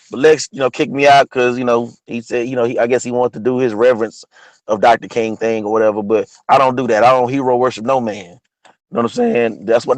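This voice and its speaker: A man with a partly lost, hoarse voice, talking casually about history as host of an online show, often asking "you know what I'm saying?". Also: mid-range voice, no room sound, rough recording. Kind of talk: casual history talk monologue.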